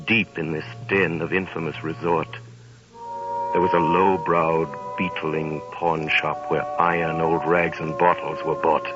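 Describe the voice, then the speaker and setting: A man's voice: a bass voice, level tone, a little slow. A man giving dramatic, sonorous scripted narration in a 1930s radio drama. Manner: sonorous, theatrical. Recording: dull 1930s radio broadcast with music.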